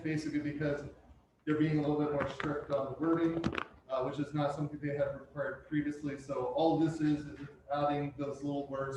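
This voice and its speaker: A man's voice: fairly high pitch, a little mellow, slight background noise. A man on the village staff, giving the council a casual explanation. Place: council chamber. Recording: echoey hall heard from a distance.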